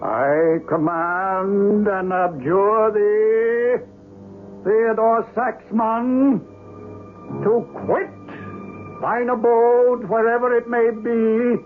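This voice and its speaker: A man's voice: high-pitched, steady tone, drawn-out words, faint music behind. A man playing a grave doctor of the occult in a radio horror drama, speaking theatrically and solemnly. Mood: commanding, incantatory. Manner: grave, theatrical, archaic incantations. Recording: noisy, tinny old radio broadcast.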